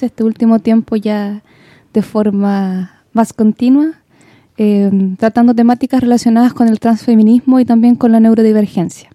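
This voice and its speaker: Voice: mid-range voice, mellow, no echo, studio quality. A transmasculine singer-songwriter from Chile, introducing himself hesitantly on the radio.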